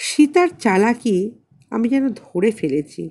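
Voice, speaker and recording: A woman reads a literary story as an audiobook narrator, in a measured, expressive way with deliberate pauses. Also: a little high.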